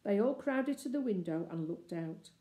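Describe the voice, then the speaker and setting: A woman reading a children's novel aloud in expressive, scripted narration. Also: mid-range voice, moderate pace. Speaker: storybook reader. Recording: echoey, roomy sound.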